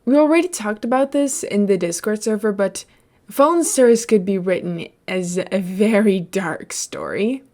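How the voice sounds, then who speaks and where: A woman's voice: medium pitch, creaky, darkish tone. A woman reading and talking as a podfic narrator, in an informal storytelling style. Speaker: podfic reader. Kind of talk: story narration and casual commentary.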